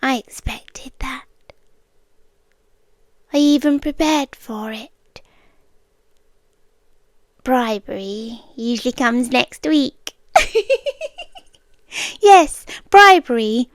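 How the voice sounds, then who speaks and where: A British woman playing a polite, obsessive yandere intruder in an ASMR roleplay, soft-spoken and often slipping into whispers, coolly teasing. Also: sits high, expressive, very slow, long pauses, no echo, heavily acted. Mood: calm, teasing, then amused, insistent. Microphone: close microphone, dry.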